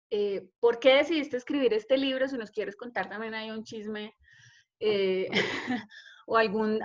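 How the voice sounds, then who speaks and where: A woman's voice: on the high side, dull audio. A woman moderating an academic panel, asking long layered questions in a courteous way.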